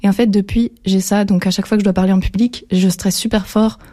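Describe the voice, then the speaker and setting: A young woman with a mellow, warm voice tells a personal story in a student radio chat. Her pitch sits in an average range, and she speaks earnestly and steadily. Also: steady tone, quick pace. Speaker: workshop participant. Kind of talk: casual storytelling.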